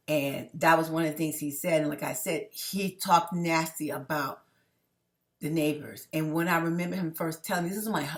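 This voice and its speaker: A middle-aged woman from New York City talks to her viewers in a personal monologue, with a voice that sits a little low. She rambles and circles back on herself, starting sentences over and over. She keeps pausing to check in with the listener. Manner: rambling, restarts often, keeps asking the viewers "okay?". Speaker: vlogger.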